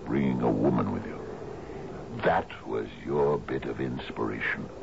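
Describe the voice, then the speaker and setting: A man's voice: extremely low pitch, measured pace, gravelly, full character voice. An actor in a radio drama playing an expansive, dreamy sea captain in his fifties, musing theatrically with questioning little grunts. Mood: wistful musing. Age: 50s. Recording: dull, noisy 1970s radio recording.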